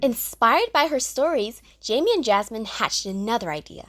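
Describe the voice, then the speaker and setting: A woman's voice: a little high, big pitch swings, moderate pace, music in the background. A woman narrator reading a scripted children's story.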